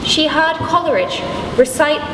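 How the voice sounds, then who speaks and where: A young woman giving a deliberate, theatrical scripted narration, recorded from a distance in a noisy, echoey hall. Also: high voice, expressive. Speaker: pupil narrator. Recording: distant, echoey hall with loud background noise.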